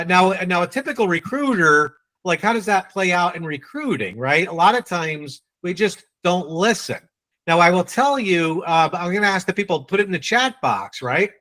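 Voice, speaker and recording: A man with a New England accent leading a live online sales training, quizzing and teasing his audience in a brisk way. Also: high-pitched, bright, forceful tone, poor audio.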